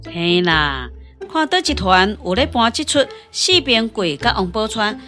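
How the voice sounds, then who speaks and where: A woman's voice: medium pitch, very animated, measured pace, sharp tone, soft background music. A woman playing a chatty, opinionated villager in a radio drama, with a Taiwanese accent and colloquial, insistent speech.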